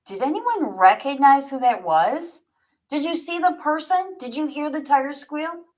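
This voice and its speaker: A woman's voice: sits high, expressive, a little slow, a little mellow, voice pushed up. A woman reading aloud the part of an earnest, rattled male security guard in a mystery novel, with emphatic, questioning delivery. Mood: urgent.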